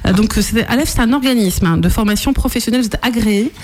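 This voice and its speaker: Quick-talking radio hostess. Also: female voice, on the high side, a little mellow, a little hiss or hum. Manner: chatty, friendly asides. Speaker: radio host. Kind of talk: radio interview.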